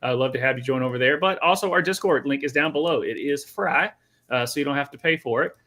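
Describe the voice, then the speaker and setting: Bright, lively male podcast co-host. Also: medium pitch, fast. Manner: joking, animated, acts out quotes. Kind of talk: casual sports chat.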